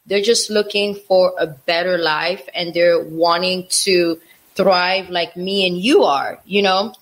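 A woman's voice: fairly low pitch, steady tone, takes it slowly, poor audio. A woman from Africa co-hosting a casual talk show, speaking with passion and repeating phrases for emphasis. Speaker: co-host. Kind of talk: casual opinion chat.

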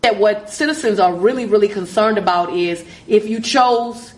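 A woman giving serious public remarks in a measured, halting and disapproving way. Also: mid-range voice, rough recording. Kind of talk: serious spoken public remarks in a played clip.

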